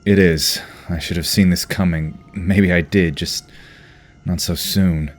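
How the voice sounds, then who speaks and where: A man's voice: sits low, steady tone, measured pace, faint music behind. A man playing an earnest, caring team leader in an audio drama, speaking with sincere concern. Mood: uneasy.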